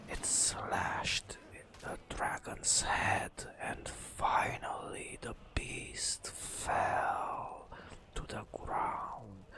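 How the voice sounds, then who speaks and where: A man softly narrating a fantasy game as ASMR, in a breathy whisper with slow, dramatic storytelling and long pauses. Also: fairly low pitch.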